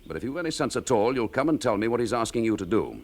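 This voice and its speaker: A stern chief inspector in a British radio drama. His clipped, commanding delivery swings widely in pitch, with the weary authority of a dutiful officer. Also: sing-song, quick pace. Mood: stern, angry. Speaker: actor.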